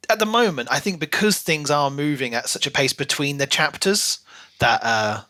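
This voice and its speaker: A man's voice: on the high side, moderate pace, pushed and bright. A man co-hosting a podcast, talking informally and casually as he discusses a book. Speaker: podcast co-host.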